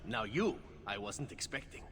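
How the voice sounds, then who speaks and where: A man's voice: lowish voice, lively intonation, normal speed, long reverb tail, noisy background, lightly acted. A man playing a flirtatious, silky, playful elven assassin in a video game. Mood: playful, charming. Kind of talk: video game cutscene acting.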